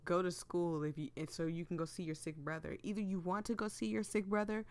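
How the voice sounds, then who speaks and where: A woman's voice: fairly low pitch, steady tone, brisk, darkish tone, smooth, close to an everyday voice. A woman with a cold-worn voice playing a stubborn, blunt young student who argues in exasperation in a visual novel. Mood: exasperated. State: losing voice with a cold.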